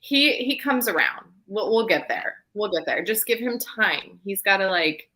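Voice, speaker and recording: A woman's voice: mid-range voice, lively intonation, moderate pace, bright, forceful tone. A woman hosting a casual book chat, leading the discussion in an informal, conversational style.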